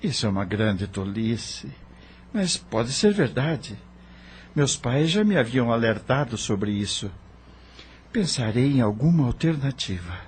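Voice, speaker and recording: Male actor as devoted widowed doctor, earnest audio-drama delivery, medium pitch, background noise. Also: very animated, measured pace. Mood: troubled. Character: earnest grieving widowed doctor, loving husband and father. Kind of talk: audio novel dramatic acting.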